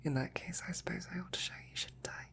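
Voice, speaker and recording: A woman playing a devoted, flirtatious female knight in an intimate roleplay, with a breathy, husky, often whispering voice and courtly phrasing. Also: fairly low pitch, fast talker, a bit bright. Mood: teasing, flirtatious. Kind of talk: intimate roleplay audio, ASMR.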